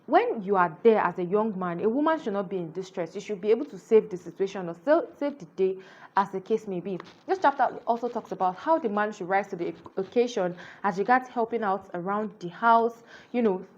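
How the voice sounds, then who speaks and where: A woman giving a casual spoken book review, earnest and explanatory, often filling pauses with "you know". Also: mid-range voice, animated, darkish tone, highs cut off.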